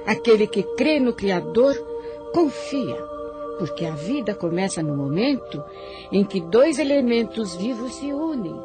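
Guardian angel played by a woman, solemn preaching, lively swooping intonation, formal speech, radio drama, music behind. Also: medium pitch. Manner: solemn, preaching, formal old-fashioned address. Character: solemn protective female spirit guide, guardian angel. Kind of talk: religious radio drama acting. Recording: music under the voice.

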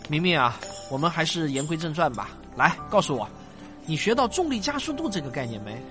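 A man playing a patient, affectionate father who explains science didactically, stretching his phrase endings, in a sci-fi audio drama. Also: fairly high pitch. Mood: instructive. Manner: didactic, drawn-out sentence particles, animated. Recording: music bed behind voice.

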